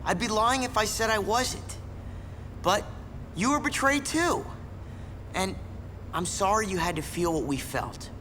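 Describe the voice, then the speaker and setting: Slow-talking, candid comrade. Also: male voice, high-pitched, background noise. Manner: unhurried, hesitant, candid. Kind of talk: scripted video game acting. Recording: reverberant space, music behind.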